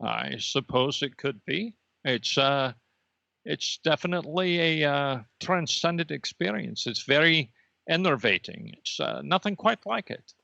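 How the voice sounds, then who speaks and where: Man as gravelly, urbane cosmic energy being. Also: a little high, animated, pushed and bright, no echo, highs cut off, exaggerated voice. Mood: smug, polite. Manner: polite, measured, faintly superior. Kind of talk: improvised comedy podcast character interview.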